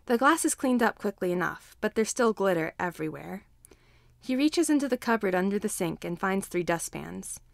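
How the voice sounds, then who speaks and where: Female story narrator, mid-pitched, reading scripted fiction in a dry, close recording. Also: animated, moderate pace, gravelly, no room sound. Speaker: fan fiction reader.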